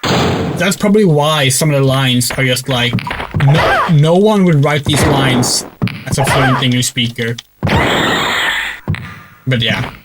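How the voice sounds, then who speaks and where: A man's voice: mid-range voice, lively intonation, slow, pauses often, background noise. A man streaming a video game, giving casual commentary in a rambling way with lots of fillers and swearing. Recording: loud game music behind the voice.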